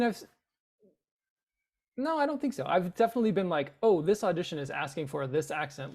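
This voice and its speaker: A man's voice: fairly high pitch, creaky, a little mellow. A man from the Boston area, a guest actor in a casual chat, hesitant and self-deprecating with a dry sense of humor. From Boston area, USA.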